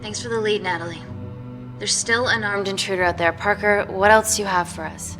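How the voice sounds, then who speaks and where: A woman's voice: medium pitch, steady tone, brisk, music in the background, lightly acted. A woman acting a conflicted, principled female scientist in a naturalistic interactive film drama. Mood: tense, terse.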